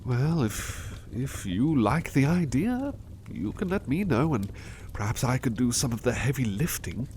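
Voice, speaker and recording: Slow-talking, devoted weary butler played by a man. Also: mid-range voice, very animated, darkish tone, a little hiss or hum. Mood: affectionate, cosy. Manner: hesitant, halting, polite old-fashioned phrasing, affectionate. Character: overworked, devoted, weary butler resting affectionately on partner's lap. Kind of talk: ASMR roleplay, intimate personal attention.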